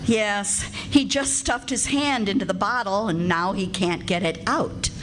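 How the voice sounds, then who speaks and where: A woman acting a patient, wry, long-suffering housewife in an old-time radio comedy, fretful and gently teasing. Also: medium pitch, measured pace, close to an everyday voice. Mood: patient, helpful. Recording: noisy live stage recording.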